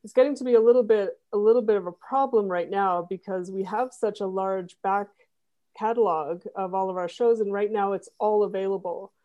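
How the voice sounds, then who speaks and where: A woman's voice: medium pitch, fairly even intonation, fairly quick, narrow, tinny audio. A woman guest on a podcast explaining how she runs her site, rambling with fillers. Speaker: podcast guest, web designer. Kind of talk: casual interview.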